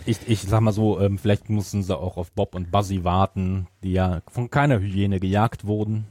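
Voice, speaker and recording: A male podcast co-host with a lowish voice. He talks casually in a measured, conciliatory way. The sound is dry, without room echo. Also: steady tone, a little mellow. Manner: conciliatory, measured, concedes points. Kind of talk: casual chat reviewing audio drama.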